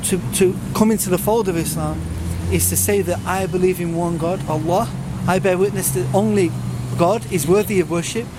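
English guy, high quick voice, interviewing people on the street, loud traffic going by behind him. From England. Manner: persistent, leading questions. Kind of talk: street conversation about religion.

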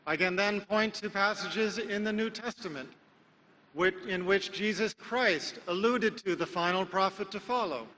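An American-raised man giving an emphatic sermon lecture, with rhetorical questions and measured pauses. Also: high-pitched, bright, forceful tone, long reverb tail, a little hiss or hum, poor audio. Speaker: Islamic preacher.

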